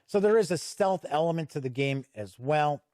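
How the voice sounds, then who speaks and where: A man's voice: on the high side, expressive, moderate pace, a little mellow, dry recording, clean studio sound. A middle-aged man giving a casual, conversational review commentary that explains game rules.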